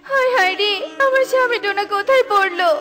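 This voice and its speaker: A woman's voice: extremely high pitch, quick pace, music in the background. A woman voicing a worried mother bird in a children's cartoon, plaintive and melodramatic. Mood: wailing, distressed. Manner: plaintive, lamenting. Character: worried, tearful mother tailorbird, devoted wife.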